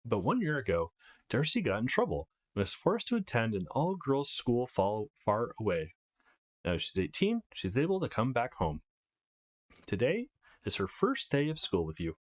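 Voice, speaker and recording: A man presents a video game playthrough in an informal, upbeat way. His medium-pitched voice moves up and down a lot, giving the commentary an animated feel. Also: moderate pace. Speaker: let's player host. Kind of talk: casual let's play commentary, reading game text aloud.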